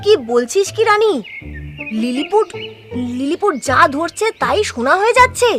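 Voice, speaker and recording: An excitable cartoon child voiced by a woman with a very high voice. The delivery is exclamatory and repetitive, with music clearly behind. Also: normal speed, full character voice. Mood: excited.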